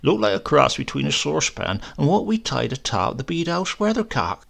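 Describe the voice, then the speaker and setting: Man voicing old rogue, gruff broad drawl, gravelly, scornful, bouncy pitch. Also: big pitch swings, normal speed, fairly bright tone, exaggerated voice. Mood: grumbling. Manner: grumbling, scornful. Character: boisterous old male country rogue.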